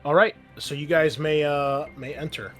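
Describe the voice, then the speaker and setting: A man narrating a tabletop roleplaying game as game master in a casual, conversational, halting way. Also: a little high, steady tone, a bit bright, faint music behind.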